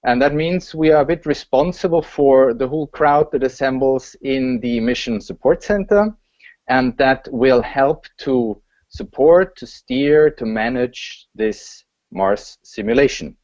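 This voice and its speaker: A man from Austria, a flight director, introducing himself and recalling past missions in an earnest, measured way. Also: medium pitch, animated, darkish tone, dry recording, lo-fi sound. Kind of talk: casual panel introduction. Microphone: video call.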